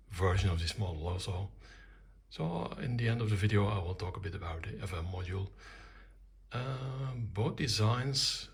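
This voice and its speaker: An older man giving an informal technical demonstration, explaining things in short, halting, measured phrases. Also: low-pitched, vocal fry, breathy edge. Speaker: electronics hobbyist presenting own project.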